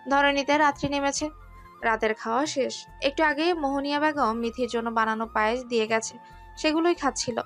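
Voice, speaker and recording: A woman's voice: high voice, fairly quick, fairly bright tone, soft background music. A woman narrating a scripted romantic story.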